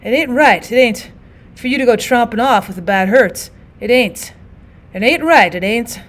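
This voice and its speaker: A woman's voice: a little high, animated, moderate pace, lightly acted. A woman voicing a wounded, rambling male soldier who talks in a folksy rural way and slurs his words as he weakens. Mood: pleading.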